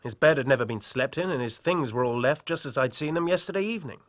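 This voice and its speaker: An actor playing a worried, loyal young English university rugby captain in an audio drama, with hearty, polite public-school speech. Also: male voice, medium pitch, expressive, fast talker, dry recording, clean studio sound, natural voice.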